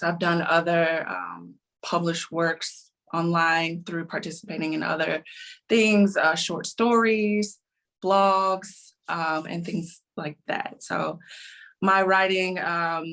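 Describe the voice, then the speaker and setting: A woman guest talking casually in an interview, phrasing her thoughts in a halting, thoughtful way. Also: lowish voice, steady tone, fairly bright tone. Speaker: interview guest, author and indie publisher.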